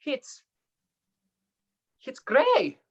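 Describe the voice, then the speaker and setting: A woman acting in a radio play as a principled, distressed young male medical apprentice, speaking earnestly and formally, on dull lo-fi audio. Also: drawn-out words. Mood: shocked. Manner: earnest, formal, dramatic delivery.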